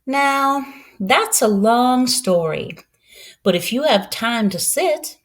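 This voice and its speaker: A woman voicing a kindly, proud old Southern farm woman who tells her story in a folksy, unhurried way for a storybook. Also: a little high, expressive, voice pushed up. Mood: warm, welcoming.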